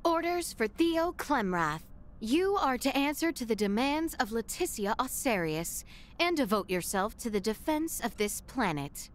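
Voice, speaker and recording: An actress playing a proud young princess in a video game, speaking in formal, stern, courtly phrases. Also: female voice, fairly high pitch, very animated, unhurried. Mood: stern, commanding. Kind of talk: dramatic video game voice acting. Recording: orchestral game music behind. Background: loud background music.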